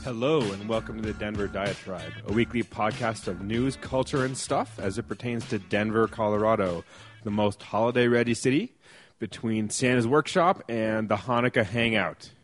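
A man's voice: on the low side, moderate pace, faint music behind. A man hosting a casual podcast, joking and rambling with lots of fillers. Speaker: podcast host.

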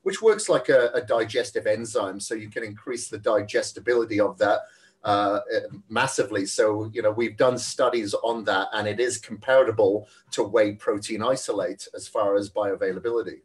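A man's voice: on the low side, normal speed, recorded from a distance. A Welsh man who is a fitness trainer, answering interview questions in a friendly, chatty way and laughing easily. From Wales.

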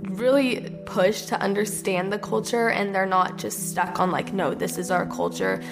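A young woman telling her story in an animated way, quoting what others said to her. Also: medium pitch, normal speed, vocal fry, music in the background. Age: young adult. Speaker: interviewee. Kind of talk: casual personal story.

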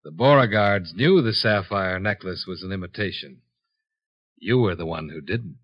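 An actor playing a shrewd, unhurried insurance investigator in a 1950s radio drama, with a dry, matter-of-fact delivery. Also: male voice, deep voice, expressive. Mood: coolly accusing. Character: cool, shrewd male insurance investigator, hard-boiled and unhurried. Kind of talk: 1950s radio detective acting. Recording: dull 1950s radio broadcast recording.

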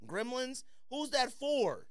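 A man's voice: very high-pitched, big pitch swings, slow, smooth, no echo, studio quality. A middle-aged man co-hosting a podcast in casual, slangy, opinionated banter about sneakers. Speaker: podcast co-host.

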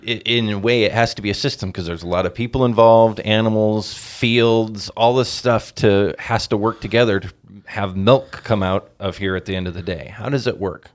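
A man's voice: on the low side, steady tone, normal speed, no room sound. Man hosting a podcast, dry close sound. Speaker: podcast host. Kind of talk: podcast interview and intro.

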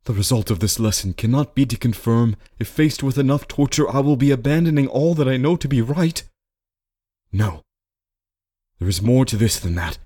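A man's voice: deep voice, measured pace, pauses often, a little mellow, breathy edge, full character voice. Man acting an anguished, honorable father, impassioned. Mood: resolute, defiant. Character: desperate, honorable father wrestling with guilt, then dying. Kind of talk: dramatic storybook character acting.

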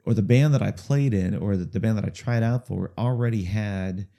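A man chatting in an interview about his music, talking in a halting way with lots of fillers, restarts and repeated words, chuckling now and then. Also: lowish voice, gravelly, warm tone. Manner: chuckles through stories. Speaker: musician guest. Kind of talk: casual rambling interview storytelling.

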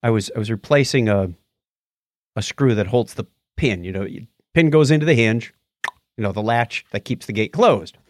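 A man's voice: medium pitch, normal speed, no room sound, studio quality. A man co-hosting a podcast, talking casually and playfully as he tells stories and reads out listener comments. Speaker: podcast co-host.

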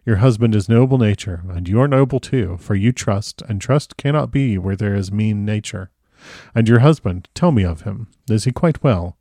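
An elderly, courtly professor from a classic storybook, acted out by a male reader. He speaks with earnest, effusive formality in broken foreign phrasing. The voice is fairly low and bright-toned only in slight measure, leaning mellow. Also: lively intonation, moderate pace, no room sound, studio quality. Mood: earnest, fervent. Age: older.

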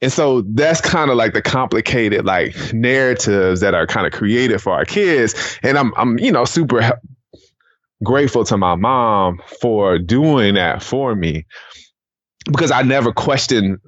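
A man's voice: lowish voice, fairly bright tone. An American man from the Atlanta area, a podcast guest casually telling personal stories in an informal, meandering way, with muffled, roomy sound. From Atlanta area, Georgia, United States. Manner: informal, meandering, many conversational tags. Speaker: podcast guest, education non-profit founder.

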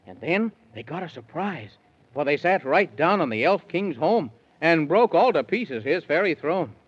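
A man's voice: a little high, very animated, moderate pace, breathy edge. A man narrating a 1930s children's radio serial in sing-song rhyming verse. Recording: dull 1930s radio recording.

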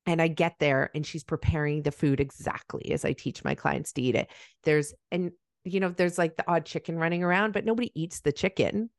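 A woman from Malawi hosts a podcast, talking with eager, easy enthusiasm. Her voice is very low for a woman. The recording is close and dry. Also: steady tone, a little fast, no echo. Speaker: podcast host. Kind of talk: casual interview.

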